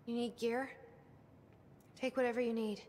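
A woman's voice: fairly high pitch, little pitch movement, moderate pace, darkish tone, in a large hall, slight background noise, close to an everyday voice. A woman playing a weary, guarded resistance fighter in a video game, grave and restrained. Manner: grave, restrained, weighted delivery. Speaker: video game character actor. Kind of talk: cinematic video game dramatic acting.

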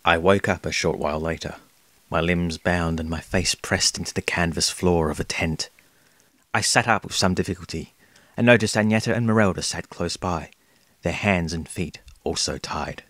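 A man playing an earnest adventurer, narrating his tale in a measured, descriptive audio drama style. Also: deep voice, fairly bright tone. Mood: tense. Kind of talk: scripted first-person storytelling.

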